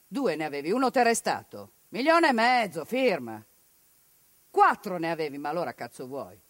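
A woman's voice: medium pitch, no room sound. A woman acting a callous official who hands out cash to grieving survivors, with brusque, curt, mocking orders.

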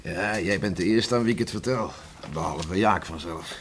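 An actor playing a hearty rural beachcomber farmer who tells tall stories in a folksy, jovial way in a Dutch radio play. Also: male voice, sits low, normal speed, natural voice. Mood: chatty. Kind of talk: Dutch radio drama acting. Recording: noisy old radio play recording.

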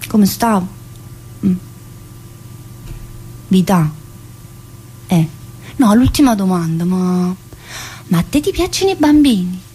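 A woman's voice: mid-range voice, big pitch swings, slow pace, pauses often, warm tone, husky, heavily acted, poor audio. An actress playing a chatty, restless middle-aged woman in prison, teasing and earthy, in a theatre monologue. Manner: teasing, earthy, full of fillers and laughs. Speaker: stage actress in a one-woman play.

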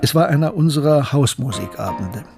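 A man's voice: mid-range voice, animated, brisk, vocal fry, a little mellow. An actor playing a German academic who tells his own story in a radio drama. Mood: reflective, grave. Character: German academic named Gustav, reflective narrator, hot-headed in argument. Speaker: narrator and character in radio drama. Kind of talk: dramatic first-person narration. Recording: music behind the voice.

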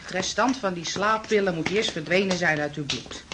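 An actress playing a placating but firm landlady in a radio play, coaxing and patronising, with a breathy voice. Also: on the low side, normal speed, background noise, natural voice. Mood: soothing, firm. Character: placating, firm landlady humouring an unwell male lodger. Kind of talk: Dutch radio play acting.

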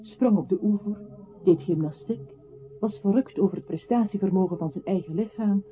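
A man's voice: high-pitched, moderate pace, a little hiss or hum, close to an everyday voice. A male actor in a radio play playing an earnest, lyrical narrator who recites in an articulate storytelling manner. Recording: thin phone-like radio sound, faint music.

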